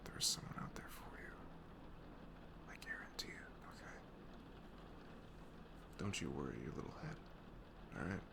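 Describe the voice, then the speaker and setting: A young man playing a caring, reassuring best friend in an intimate ASMR roleplay, soft-spoken and earnest, with audible noise in the recording. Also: deep voice, wildly swooping pitch, moderate pace, pauses often, full character voice. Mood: tender, soothing. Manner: soft-spoken, often breathy, hesitant, earnest. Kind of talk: ASMR roleplay acting, intimate casual talk.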